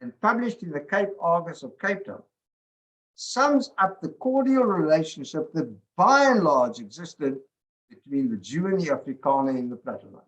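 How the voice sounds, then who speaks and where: A man reading a passage aloud in an expressive manner. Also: fairly high pitch, normal speed, low-quality recording. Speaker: speaker reading his article summary.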